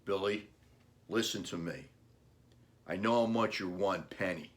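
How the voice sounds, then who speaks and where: An earnest, gentle dad speaks very slowly in a lowish voice as a man reads his part. He is a storybook father, plain and apologetic in manner. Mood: regretful. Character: gentle, regretful father of a young boy. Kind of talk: children's storybook reading.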